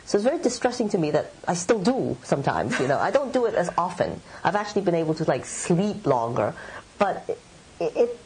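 A woman's voice: on the low side, sing-song, fairly quick, a little mellow, slight background noise, rough recording. A middle-aged woman writer from Hong Kong, answering interview questions in a thoughtful, meandering way with many fillers. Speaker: writer and editor.

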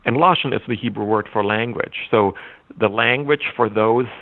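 A man whose first language is German, a literature scholar giving thoughtful, halting interview answers with frequent restarts. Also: on the low side, narrow, tinny audio. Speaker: literature scholar and anthology editor.

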